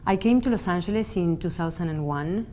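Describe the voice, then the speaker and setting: Brief: female architect, lowish voice, reflective with hesitant pauses, faint hum behind. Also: dark tone. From Argentina. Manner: thoughtful, pauses with frequent ums. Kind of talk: documentary interview.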